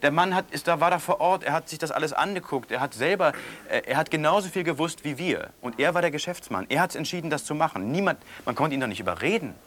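An actor playing a defensive West German journalist, arguing haltingly in natural, improvised-sounding dialogue. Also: male voice, on the high side, lively intonation, a bit bright, slight background noise. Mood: defensive, insistent. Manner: halting, argumentative, self-justifying. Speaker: journalist being questioned by audience.

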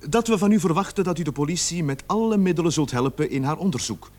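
A stern police sergeant in a radio play. He speaks formally and with authority, weighing his words, and his pitch swings widely as he questions and warns. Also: lively intonation, darkish tone. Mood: stern, measured. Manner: authoritative, measured, formal interrogator. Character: stern police sergeant leading a murder investigation.

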